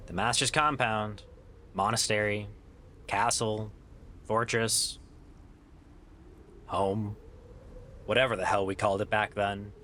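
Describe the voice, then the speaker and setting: A man's voice: lowish voice, fairly even intonation, takes it slowly, pauses often, pushed and bright, slight background noise. A man playing a weary, loyal adventurer in an audio drama, talking in a casual, sardonic way. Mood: weary, wry. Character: wry, weary male adventurer, loyal old friend. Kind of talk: naturalistic audio drama acting.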